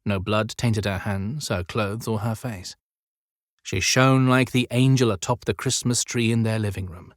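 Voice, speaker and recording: A man narrating an audiobook story in a measured, descriptive storytelling style. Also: lowish voice, steady tone, no echo, studio quality. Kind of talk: scripted dramatic storytelling narration.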